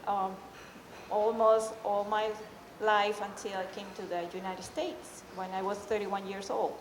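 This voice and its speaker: A middle-aged woman from Peru with a Peruvian Spanish accent tells a personal story live, in an informal, halting way. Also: mid-range voice, level tone. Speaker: amateur storyteller. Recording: echoey hall, faint background noise.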